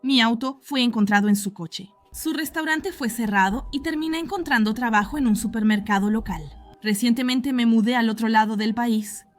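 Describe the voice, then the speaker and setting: A woman reading first-person horror stories in an even, measured storytelling voice. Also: on the high side, soft background music. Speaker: narrator. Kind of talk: scripted horror story narration.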